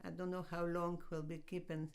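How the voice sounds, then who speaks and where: A woman's voice: on the low side, little pitch movement, a little fast. An older woman from Poland with a Polish accent, speaking simple, broken English in an interview. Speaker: interviewee, grandmother. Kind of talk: casual interview talk.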